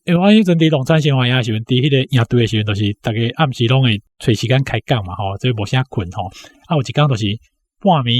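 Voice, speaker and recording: A man in his sixties with a Taiwanese accent recalls personal memories informally, often punctuating his phrases with little tag particles. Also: medium pitch. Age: 60s. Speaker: interview guest, university academic. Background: background music.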